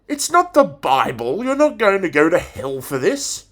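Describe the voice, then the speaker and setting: A man playing a passionate, theatrical English teacher in a dramatic monologue, declaiming deliberately with pauses. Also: sits high, huge pitch swings, a bit bright, heavily acted. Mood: fiery, urging. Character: passionate, theatrical male English teacher rousing his pupils.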